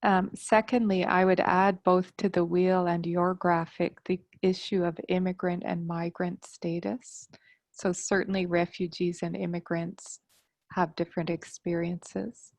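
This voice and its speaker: Gravelly, low-voiced woman on a video call. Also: flat delivery, a little mellow, dry recording. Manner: measured, precise, qualifying her points. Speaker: workshop participant, university researcher. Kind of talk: online workshop discussion.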